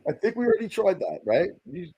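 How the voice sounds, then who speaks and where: A man with a very loud voice giving emphatic opinions in a casual stream chat. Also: sits high, wildly swooping pitch, fast talker, husky, no room sound, highs cut off.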